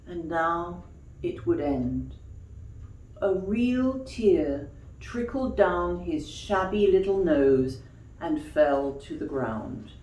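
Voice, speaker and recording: A woman's voice: on the low side, lively intonation, extremely slow, mellow. A woman reading a children's story expressively, as a storyteller addressing young listeners. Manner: explains words to young listeners in asides. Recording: echoey room, distant mic.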